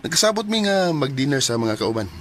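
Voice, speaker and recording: A man's voice: mid-range voice, very animated, fairly quick, close to an everyday voice. A man with a Cebuano Filipino accent playing a friendly, forward office colleague in a radio drama. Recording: noisy radio drama track.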